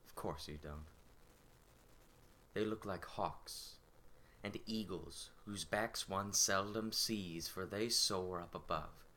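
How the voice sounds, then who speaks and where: A man acting as a well-spoken manservant in his thirties, low and bright in tone. He speaks a stage monologue like a poetic story, bitter and full of longing. Faint noise sits behind the voice. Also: full character voice. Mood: bitter, brooding. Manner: measured, poetic storytelling delivery.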